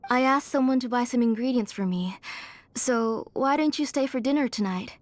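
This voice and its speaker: A woman's voice: fairly high pitch, normal speed, no echo, lightly acted. A woman voicing an older, doting mother in a video game, with a fussing, motherly manner. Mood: composed, hospitable. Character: grieving, doting older mother, retired forger.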